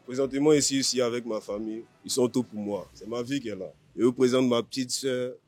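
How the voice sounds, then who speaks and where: A man's voice: medium pitch, fairly even intonation, a little fast, a little mellow, faint music behind. A man with an Ivorian accent, a fighter talking confidently and boastfully in an informal interview. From Ivory Coast. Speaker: MMA fighter being interviewed.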